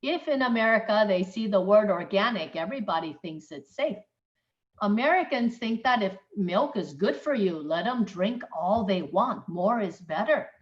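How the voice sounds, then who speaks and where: A woman's voice: mid-range voice, expressive, moderate pace, lo-fi sound. A middle-aged woman from Taiwan, a doctor giving an informal webinar talk, speaking emphatically and checking in with her listeners. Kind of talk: informal lecture.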